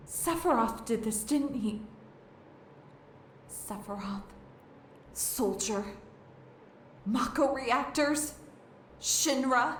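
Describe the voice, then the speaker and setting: A woman playing a grieving, vengeful young heroine in a video game, slow and emotional, in very echoey audio. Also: high voice, a little hiss or hum. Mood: anguished, furious. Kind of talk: dramatic video game voice acting.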